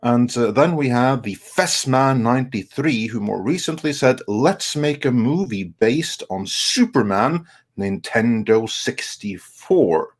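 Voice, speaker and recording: A man hosts a casual live show. He talks slowly and haltingly as he reads out messages and chats with his guests. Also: mid-range voice, lively intonation. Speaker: host of a live stream show.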